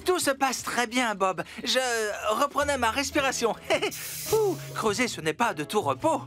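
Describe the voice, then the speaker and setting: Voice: mid-range voice, very animated, measured pace, a bit bright. An actor voicing an eager, mischievous young talking digger in a French-dubbed children's cartoon. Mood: nervous, evasive. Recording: music behind the voice. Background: cartoon background music.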